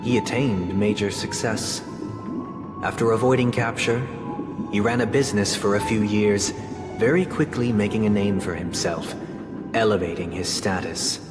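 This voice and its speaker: A man's voice: fairly low pitch, natural voice. Man acting solemn, courteous storyteller in echoing hall. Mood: grave, formal. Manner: formal, measured, theatrical. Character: solemn, courteous, well-spoken man telling tragic tales. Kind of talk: scripted dramatic game monologue. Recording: echoey hall, loud game music behind.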